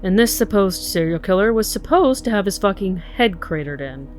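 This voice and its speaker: An actress playing an angry, sardonic, foul-mouthed police detective in an audio drama, with a blunt delivery. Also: female voice, mid-range voice, animated, moderate pace, a little mellow, faint music behind, lightly acted. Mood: dry sardonic.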